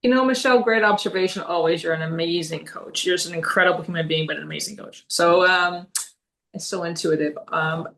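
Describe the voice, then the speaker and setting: A middle-aged woman with a slightly low, gravelly voice, whose first language is Greek. She answers reflectively in an interview, earnest and full of fillers and restarts. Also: a little fast, a bit bright. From Canada. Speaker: podcast guest, leadership consultant.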